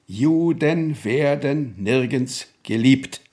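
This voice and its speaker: A senior Prussian official in a radio drama. He talks very slowly at a medium male pitch, weighing his formal words with evasive, weighty authority. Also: darkish tone. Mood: grave, evasive. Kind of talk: German radio drama acting.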